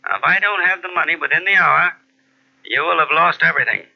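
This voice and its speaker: An actor playing a blunt, unyielding stockbroker over the telephone in an old-time radio mystery. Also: male voice, fairly high pitch, fast, creaky. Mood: curt, insistent. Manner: businesslike, blunt. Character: curt, unyielding male stockbroker. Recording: dull lo-fi old radio cassette recording.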